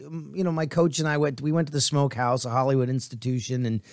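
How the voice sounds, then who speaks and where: A talk show guest from Connecticut, chatty and upbeat, quick to laugh. His voice sits in a middle range for a man. The sound is dry and close, with no room around it. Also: medium pitch, flat delivery, dry recording. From Connecticut, USA. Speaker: guest drummer and actor. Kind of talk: casual talk show chat.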